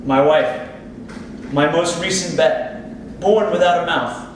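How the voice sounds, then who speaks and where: Male actor as boastful surgeon husband, slightly high voice, theatrical salesman's patter, recorded in a very echoey room. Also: takes it slowly. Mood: proud, intense. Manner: rhetorical, self-assured, salesman-like. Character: smug, ambitious plastic surgeon husband, boastful about his work. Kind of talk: theatrical monologue at a staged play reading. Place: indoor theatre space, distant microphone. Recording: echoey, very noisy room from far off.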